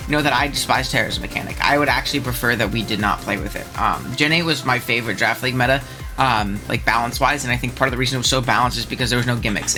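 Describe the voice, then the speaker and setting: A young man hosting his channel, chatting casually and animatedly about viewer takes, a little stuffed up with a cold. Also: medium pitch. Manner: chatty and animated, frequent ums and laughs. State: stuffed up, congested. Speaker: channel host.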